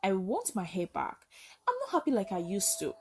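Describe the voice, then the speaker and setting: A woman's voice: medium pitch, wildly swooping pitch, normal speed, slight background noise. A woman voicing a wronged young bride in a folktale, pleading yet resolute, in a plaintive, firm manner. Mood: sad, pleading.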